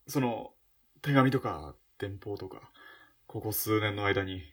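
A man playing an awkward, evasive former soldier in a radio drama, speaking in a hesitant, halting way. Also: lowish voice, fairly bright tone, exaggerated voice. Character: awkward, evasive male ex-soldier, reluctant bearer of news. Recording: distant from the mic.

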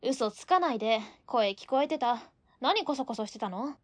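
A woman plays a feisty girl of eighteen with a high, closely recorded voice. She is a strict young landlady in a radio drama, bossy and cheeky as she scolds her lodgers. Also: expressive, moderate pace, no room sound. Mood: stern. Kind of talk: Japanese radio drama acting.

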